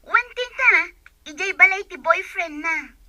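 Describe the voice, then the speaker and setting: Woman acting as obliging girl student, very high pitch, piercingly bright, audio drama. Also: expressive, measured pace, no echo, full character voice. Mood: polite, helpful. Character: polite, obliging young female college friend.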